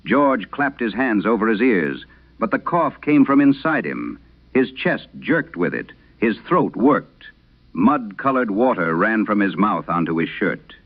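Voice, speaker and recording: Man acting a deep, gravelly old exorcist priest. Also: phone-quality sound, exaggerated voice. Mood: grave, haunted. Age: older. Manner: solemn, deliberate, dramatic. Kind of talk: scripted horror radio story narration.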